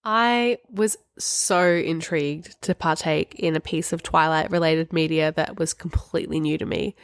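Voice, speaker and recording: A woman's voice: low-pitched, fairly even intonation, moderate pace, clean tone, no echo. A woman co-hosting a podcast, talking casually and candidly about books with wry emphasis. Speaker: podcast co-host. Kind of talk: casual book discussion chat.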